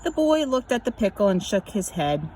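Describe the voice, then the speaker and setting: Casting: female storyteller, mid-pitched voice, expressive picture-book narration with animated emphasis. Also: normal speed, a little hiss or hum, poor audio. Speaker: children's story reader.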